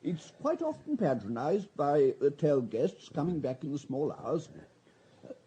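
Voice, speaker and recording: Radio drama night waiter: highish mellow voice, respectful, eager to help, slightly hesitant. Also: male voice, very animated, unhurried, slightly rough, heavily acted. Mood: obliging.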